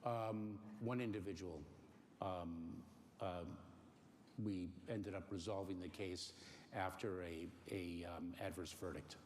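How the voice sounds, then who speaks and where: A middle-aged man born in Cuba, giving careful, halting interview answers with many pauses and fillers. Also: low-pitched, fairly even intonation, a little mellow. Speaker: interview guest. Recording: faint noise in a long-reverb room.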